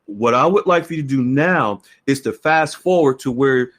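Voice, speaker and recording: A man with a middle-pitched voice moderates a guest in a conversational way. He is deliberate, restating his phrases as he guides the talk along. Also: lively intonation, fairly bright tone. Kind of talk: conversational interviewing.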